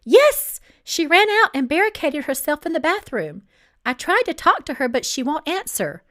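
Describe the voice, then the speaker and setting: Woman acting a female worker, frantic, loud, urgent, very high pitch, swooping intonation, novel character. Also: very animated, moderate pace. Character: frantic female factory worker, yelling in panic.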